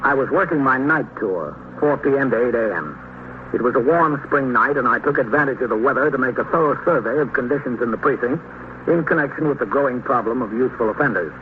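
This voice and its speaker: An American man acting as a calm police captain in a 1950s radio drama, narrating and questioning in a measured, matter-of-fact, official way. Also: mid-range voice, natural voice. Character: calm, businesslike male police captain in command. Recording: tinny, noisy old radio recording.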